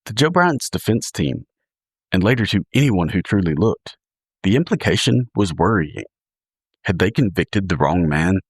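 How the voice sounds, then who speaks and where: A man reading scripted true crime narration in a measured, dramatic storytelling manner, as a podcast host. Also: sits low, very animated, breathy edge, dry recording, studio quality.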